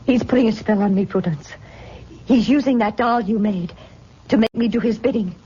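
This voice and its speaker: An actress playing a frightened young fiancée tormented by a spell, in a melodramatic, pleading old-time radio style. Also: female voice, on the high side, normal speed, dark tone, close to an everyday voice. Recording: muffled, noisy old radio recording.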